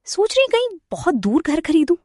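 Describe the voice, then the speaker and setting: A woman's voice: extremely high pitch, big pitch swings, normal speed, warm tone, dry recording, studio quality. A woman voicing a greedy daughter-in-law who grumbles smugly about the cost of her mother-in-law. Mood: complaining. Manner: grumbling, smug. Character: greedy, complaining daughter-in-law, spoiled and smug.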